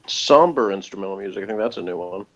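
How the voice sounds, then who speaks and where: Low-voiced man in casual chat, slightly dull recording. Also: lively intonation, fast talker. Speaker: co-host of a TV review show.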